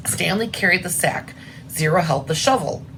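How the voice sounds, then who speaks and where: Lively, low-pitched woman reading aloud. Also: moderate pace, a little hiss or hum. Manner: explains simply and informally between passages. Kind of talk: expressive read-aloud with drawing asides.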